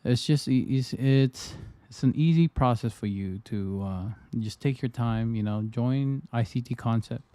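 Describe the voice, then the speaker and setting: A man's voice: medium pitch, unhurried, few pauses, warm tone, no room sound. A man from the Philippines casually chatting on his livestream, rambling with frequent restarts and fillers. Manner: loose, repetitive, lots of restarts and fillers. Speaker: trading livestreamer.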